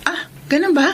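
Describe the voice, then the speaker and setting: A woman's voice: sits high, fairly bright tone, a little hiss or hum, heavily acted. A woman with a Filipino accent playing a good-humoured older mother in a radio drama, chatty and amused.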